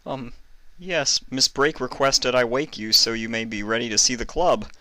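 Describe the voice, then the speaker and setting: A man's voice: mid-range voice, moderate pace, bright, forceful tone, no room sound, voice pushed up. A man voicing a timid, polite young male pony assistant in a storybook reading, hesitant and deferential. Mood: nervous, sheepish. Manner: hesitant, deferential, full of ums.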